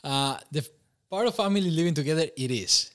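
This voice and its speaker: A man's voice: fairly high pitch, lively intonation, quick pace, fairly bright tone. A young man from Costa Rica with a Costa Rican Spanish accent, a podcast guest telling his life story, laughing often and restarting phrases. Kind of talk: conversational interview.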